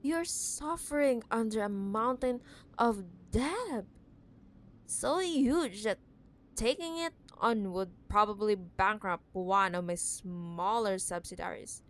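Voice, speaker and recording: A woman acts out a rich, possessive cyberpunk CEO toying with her ex in an intimate audio drama. She talks slowly at a medium pitch, stretching words for emphasis. Her manner is teasing, condescending and full of scorn. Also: natural voice. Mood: exasperated, scornful. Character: possessive, condescending wealthy female CEO ex-girlfriend in cyberpunk city. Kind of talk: ASMR audio roleplay, intimate dramatic monologue.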